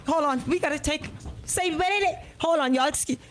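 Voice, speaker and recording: A woman's voice: high voice, normal speed. A woman hosting casual radio banter in a playful, incredulous, exclamatory way. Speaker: radio host.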